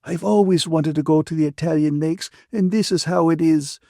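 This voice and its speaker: A bitter, indignant woman from a novel, acted by a male narrator, scolds in a raised pitch. The delivery is emphatic and aggrieved, close to tears, with a dry studio sound. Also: moderate pace, vocal fry, warm tone, no room sound. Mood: hurt, angry.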